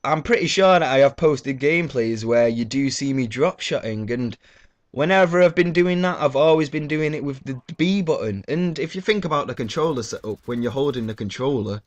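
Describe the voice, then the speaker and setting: A man's voice: mid-range voice, expressive, fairly quick. A man giving casual gameplay commentary with a blocked nose, rambling and swearing loosely between fillers. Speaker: gaming YouTuber.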